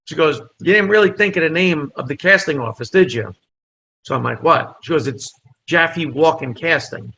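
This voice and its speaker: A man's voice: a little high, normal speed, vocal fry, poor audio. A comedian telling a story in a casual interview, acting out the people in it. He grew up speaking Italian first and is from New Jersey. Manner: animated storyteller, acts out dialogue. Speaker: comedian guest.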